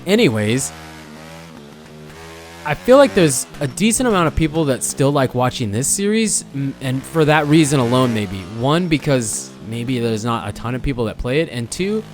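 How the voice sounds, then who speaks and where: A young man with a hoarse, fading voice, chatting casually as he comments on his own gameplay, often stopping to correct himself. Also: mid-range voice, big pitch swings, normal speed, soft background music. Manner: rambling, self-correcting, chatty. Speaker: gaming channel host.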